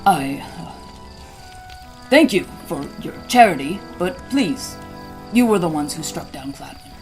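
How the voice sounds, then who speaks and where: A man's voice: fairly high pitch, expressive, unhurried, soft background music, poor audio. A man playing an earnest, humble traveling healer who speaks politely and formally in a fantasy audio drama. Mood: earnest, modest.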